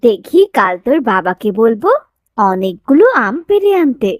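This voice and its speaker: A woman's voice: a little high, big pitch swings, moderate pace, no room sound. Woman as a fussing cartoon village mother, dry recording. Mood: tender. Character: fussing, caring village mother of three daughters. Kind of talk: cartoon story dialogue.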